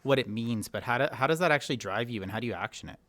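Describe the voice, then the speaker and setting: A man's voice: mid-range voice, expressive, rapid-fire, fairly bright tone, no echo. A man hosting an education podcast interview, enthusiastic and affirming toward his guest. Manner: enthusiastic, affirming, uses "razzle dazzle". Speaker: podcast host.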